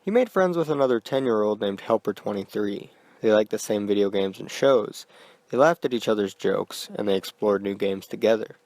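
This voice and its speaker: A man narrating a scripted horror story in a quiet, deliberate manner. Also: lowish voice, animated, no echo.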